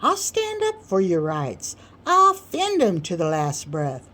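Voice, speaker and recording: A woman's voice: on the low side, huge pitch swings, slow pace, bright, forceful tone, heavily acted. A woman voicing a pompous, boastful man who holds forth in folksy dialect with grandiloquent emphasis, in an audiobook reading. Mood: rousing, boastful. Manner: grandiloquent, emphatic, folksy dialect speech with mangled big words. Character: pompous, boastful male orator holding forth to admirers.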